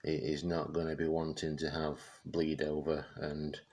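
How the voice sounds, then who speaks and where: A man giving a casual, unscripted product demonstration, speaking haltingly as he searches for words. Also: rumbling low, monotone, a little mellow. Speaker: presenter showing vintage radio gear.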